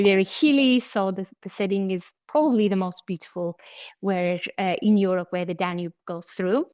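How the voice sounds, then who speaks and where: Woman, program director answering questions, measured and explanatory, mid-pitched, dry close sound. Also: darkish tone, no room sound. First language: Turkish. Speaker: study abroad program director. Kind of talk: interview answers.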